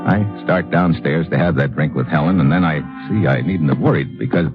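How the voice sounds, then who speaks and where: An actor with an American accent playing a wry, wary undercover agent in an old-time radio detective drama, dry and sardonic. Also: male voice, very deep voice, brisk. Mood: wry, watchful. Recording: dull old radio recording with music.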